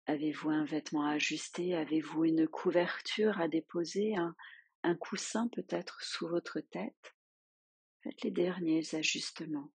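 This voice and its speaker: A woman leading a guided yoga nidra relaxation as a teacher, giving soothing, unhurried instructions with long pauses. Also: low-pitched, fairly even intonation, breathy edge, no room sound.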